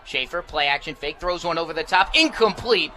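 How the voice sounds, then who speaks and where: A very bright, forceful American man delivers live football play-by-play on the radio. His voice sits a little high and he describes each play in clipped phrases, over faint background noise. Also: expressive, brisk. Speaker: play-by-play sports announcer.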